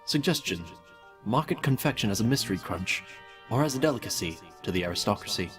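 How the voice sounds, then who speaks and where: Man acting a cold, clinical scientist, widely swooping pitch. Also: expressive, quick pace, lightly acted. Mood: clinical, detached. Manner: clinical, detached, pompous, menacingly polite. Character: cold, clinical male mad scientist dictating cruel experiment notes. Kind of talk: fan fiction audio drama, dictated notes. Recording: distant voice with music behind.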